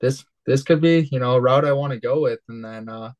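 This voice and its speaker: A young man in his twenties from West Michigan talks casually as an interview guest, easygoing and loose, with plenty of "you know" and "uh". His voice sits at an everyday middle pitch. The recording is dry and close, with little room sound. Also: brisk, dry recording, highs cut off. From West Michigan, USA. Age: 20s. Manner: relaxed, rambling, many fillers. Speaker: guest, cannabis entrepreneur.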